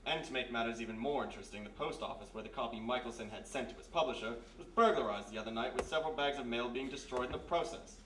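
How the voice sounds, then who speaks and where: A man playing a gruff, authoritative police major in a live radio mystery play. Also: mid-range voice, fast, hardly any pauses, a bit bright, lightly acted. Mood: measured. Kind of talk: 1940s radio mystery acting, live on stage. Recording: echoey live room, distant mic, faint noise.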